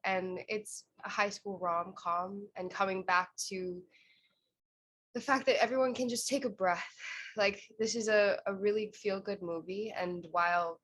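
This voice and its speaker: A woman in the film's cast answering a fan's question on a video panel in an earnest, thoughtful way, pausing to find her words. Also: mid-range voice, fairly even intonation, a bit bright. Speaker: cast member.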